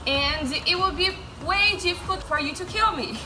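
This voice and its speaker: A woman's voice: very high-pitched, normal speed. A woman, a Portuguese speaker, chatting casually as she interviews friends. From Brazil. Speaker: interviewer, English-teaching vlogger. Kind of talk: casual chat. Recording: echoey room with clear background noise.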